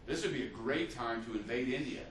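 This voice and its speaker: A man's voice: medium pitch, brisk, a little hiss or hum. A man giving an unscripted history lecture as a teacher, telling it like a story, with many fillers and restarts. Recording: echoey room, mic at a distance.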